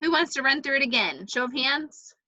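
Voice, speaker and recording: A woman's voice: sits high, animated, fairly quick, pushed and bright. A woman coordinating a group in casual video-call chat, tentative and accommodating, with muffled call audio. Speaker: event coordinator.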